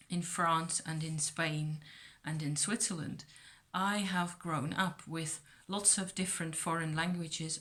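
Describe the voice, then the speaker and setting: Woman, Dutch first language, lowish voice, hesitant rambling vlog chat with lots of fillers. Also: a bit bright. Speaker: vlogger. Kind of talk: casual rambling chat to camera.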